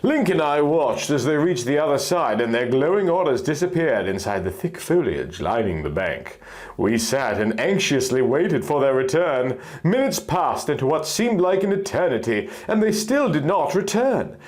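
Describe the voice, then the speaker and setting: Male story narrator, higher-than-average voice, very animated, earnest and theatrical delivery of fantasy fiction. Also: moderate pace. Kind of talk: dramatic scripted fan-fiction narration.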